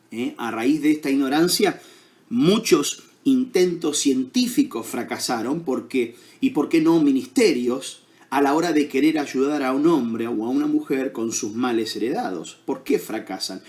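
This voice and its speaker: A man with an Argentine accent preaching a sermon to his congregation at a slow, deliberate pace. His pitch swings widely as he poses question after question. He fills his pauses with little hums and checks in with tag questions. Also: darkish tone. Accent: Argentine Spanish. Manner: unhurried preaching with many fillers and tag questions. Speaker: pastor.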